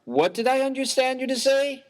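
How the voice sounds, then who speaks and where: Man playing a prim clergyman, very lively intonation. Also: very high-pitched, normal speed. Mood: baffled. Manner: formal, precise, wordy. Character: prim, earnest, bookish, mild-mannered clergyman, baffled by slang. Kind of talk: dramatised reading of a humorous short story.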